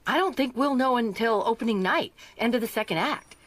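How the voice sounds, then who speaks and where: Lively, chatty detective's companion. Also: female voice, a little high, fairly quick. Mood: conversational. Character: chatty, friendly woman, the detective's inquisitive companion. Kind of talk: radio mystery drama acting.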